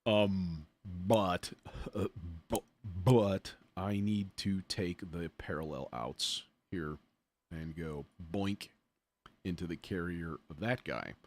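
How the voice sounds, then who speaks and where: Man, deep-pitched voice, casual livestream host, music producer, thinking aloud, informal, self-deprecating muttering. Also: slow pace.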